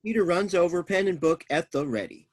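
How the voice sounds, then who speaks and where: Higher-pitched man reading stage directions. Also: expressive, a little fast, husky. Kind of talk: scripted narration.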